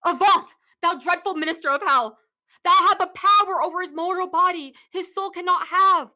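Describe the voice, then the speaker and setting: Casting: young actress for furious bereaved noblewoman, Shakespearean radio drama, extremely high, sharp voice, scornful and impassioned. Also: moderate pace, full character voice. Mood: outraged, fearful. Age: young adult. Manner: declamatory, impassioned. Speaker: acting student. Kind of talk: Shakespearean radio play acting.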